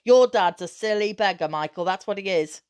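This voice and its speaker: A woman's voice: mid-range voice, normal speed, a little mellow, clear, resonant tone, natural voice. A woman voicing an emphatic, colloquial working mother with a stubborn, emotional temper in a storybook reading. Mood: upset, exasperated. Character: distraught, stubborn, angry working mother of a boy.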